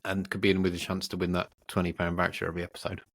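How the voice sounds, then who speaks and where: A man co-hosting a podcast, chatting casually and off the cuff in a rambling, playful, excitable way. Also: sits low, racing. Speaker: podcast co-host. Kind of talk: casual unscripted podcast chat.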